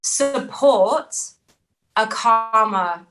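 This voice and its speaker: A woman's voice: fairly high pitch, drawn-out words, fairly bright tone, faint music behind. A woman, speaking earnestly and reflectively as a podcast guest and repeating phrases for emphasis. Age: 30s. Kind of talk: candid personal interview.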